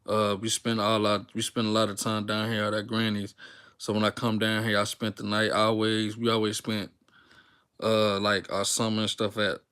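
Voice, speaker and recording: A young man from Texas, talking unscripted to his viewers in a rambling, slang-filled way with lots of fillers. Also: on the low side. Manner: rambling, relaxed slang-filled talk with many fillers and restarts. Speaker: YouTuber. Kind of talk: unscripted casual talk to viewers, live stream.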